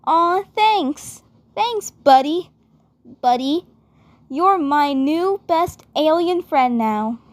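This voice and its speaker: A woman playing a bubbly, affectionate girl, speaking haltingly and repeating her words and phrases. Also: sits high, expressive, dry recording, full character voice.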